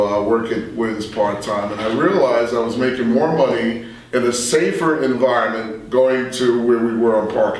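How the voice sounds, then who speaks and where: An older man recalls his radio days in a conversational, rambling way. His voice sits at a middle pitch. He is recorded at a distance from the microphone in a strongly reverberant room. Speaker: interview guest, radio veteran. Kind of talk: conversational reminiscing.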